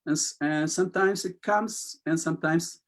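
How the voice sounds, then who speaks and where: A man with a Spanish accent, a poet and interview guest, speaking slowly and hesitantly with many pauses and fillers, and reading poems aloud. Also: fairly high pitch. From Chile. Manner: slow, hesitant, many fillers, soft-spoken.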